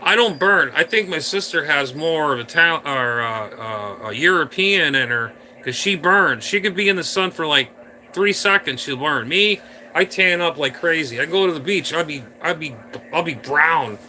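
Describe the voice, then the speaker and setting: A man's voice: on the high side, lively intonation, normal speed, bright, forceful tone, low-quality recording. A man hosting a casual live stream, rambling playfully and talking straight to his viewers from a room indoors.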